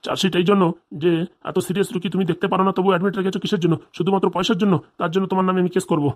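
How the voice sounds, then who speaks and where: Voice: medium pitch, steady tone, racing, natural voice. A performer voicing a stern, threatening male village police officer in a comedy cartoon, blunt, bossy and interrogating.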